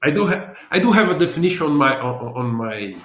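A man's voice: on the high side, very animated, brisk, a bit bright, a little hiss or hum. A man from Brazil with a Brazilian accent, answering interview questions thoughtfully and repeating words as he restarts his sentences. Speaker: guest consultant and trainer. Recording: roomy echo.

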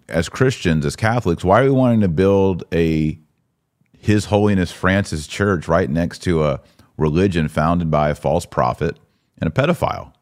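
A man's voice: sits low, a little mellow, no echo, clean studio sound. A man hosting a live podcast discussion in an opinionated, emphatic, colloquial way. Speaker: podcast host. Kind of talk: live commentary and casual discussion.

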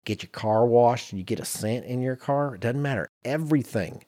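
A man co-hosting a podcast in casual banter, telling anecdotes in an animated way and acting out quotes. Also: medium pitch, moderate pace. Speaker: podcast co-host.

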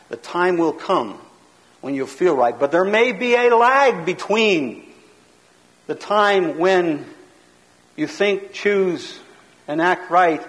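A man with a high, carrying voice teaches like a preacher, loud and emphatic, hammering points home in rhythm. His words ring out with a long reverb, as if he is in a large hall. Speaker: pastor teaching a seminar. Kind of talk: sermon-style teaching lecture.

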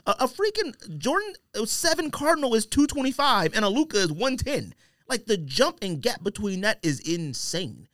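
A man's voice: sits high, big pitch swings, moderate pace, a bit bright, no room sound, clean studio sound. A man casually debating as a podcast host, emphatic, blunt and slangy in his opinions.

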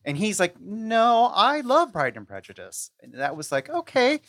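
A woman's voice: mid-range voice, normal speed, dry recording. A woman chatting casually as a podcast guest, sharing emphatic opinions and peppering them with "like". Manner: animated opinions, frequent "like". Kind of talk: casual chat.

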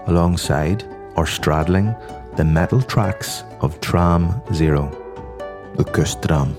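Low-voiced Irish man narrating over guitar. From Ireland. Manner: measured, informative delivery. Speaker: podcast host. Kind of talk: scripted narration. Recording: music playing behind the voice. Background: guitar music.

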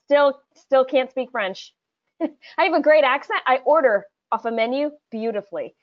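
A woman hosting a casual game segment in a chatty podcast style, playful and quick to laugh as she talks. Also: high-pitched, expressive, dull audio. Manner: playful, laughs often while talking. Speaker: podcast host.

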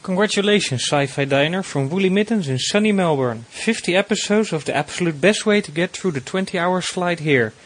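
High-pitched man in a listener message. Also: brisk, few pauses. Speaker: listener sending a greeting. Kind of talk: recorded congratulatory message.

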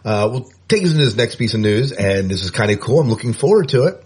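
A man's voice: fairly low pitch, big pitch swings, fast, a little mellow, slightly rough. A man co-hosting a podcast in casual chat, often repeating phrases for emphasis. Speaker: podcast co-host.

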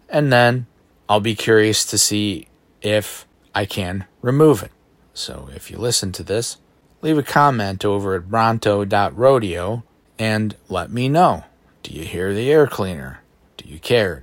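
A man with a baritone voice hosting a solo podcast, thinking aloud in a reflective, self-deprecating way, recorded on a phone in a bedroom at home. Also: dry recording. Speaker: podcast host. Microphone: phone microphone.

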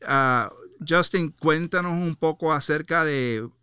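A man's voice: on the high side, slow, pushed and bright, clear, resonant tone, no echo, studio quality. A man hosting an interview, chatty and laughing easily as he introduces his guest. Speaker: interview host. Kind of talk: casual interview.

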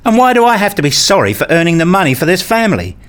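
A man's voice: high voice, very animated, fast, a bit bright. A man playing a brooding, rule-obsessed husband and father in a radio play, speaking in an introspective, pedantic way. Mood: indignant, arguing. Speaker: narrator and lead character. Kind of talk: first-person radio play narration and dialogue.

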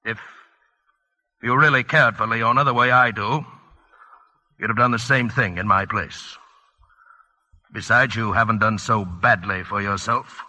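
A man's voice: fairly low pitch, steady tone, a little slow, long pauses, pushed and bright, full character voice. An actor playing a domineering older father-in-law in a vintage radio drama, blunt and commanding. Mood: scornful, commanding. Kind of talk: 1940s-50s radio drama acting.

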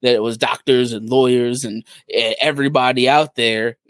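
A man's voice: mid-range voice, steady tone, moderate pace, fairly bright tone, dry recording. A man with a mid-range voice talks casually as a podcast co-host. He retells things conversationally, and the recording is dry with no room sound. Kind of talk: casual chat, recounting.

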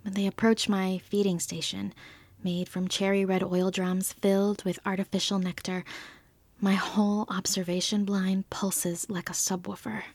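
A woman playing an earnest, wonderstruck field naturalist, giving a measured, lyrical report in an audio drama with a soft, breathy voice. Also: medium pitch, flat delivery, no room sound, lightly acted. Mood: absorbed, explanatory. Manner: thoughtful, lyrical, unhurried.

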